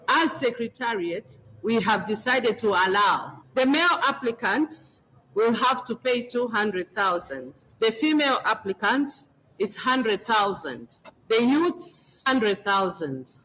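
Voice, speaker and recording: A woman's voice: on the high side, fairly bright tone, slight background noise, poor audio. A woman with a Zambian accent, a party official giving a media briefing statement phrase by phrase.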